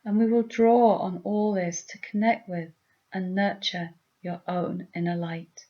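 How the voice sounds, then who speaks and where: A woman leading a guided meditation with gentle, soothing, deliberate instructions. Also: fairly low pitch, fairly even intonation, muffled recording.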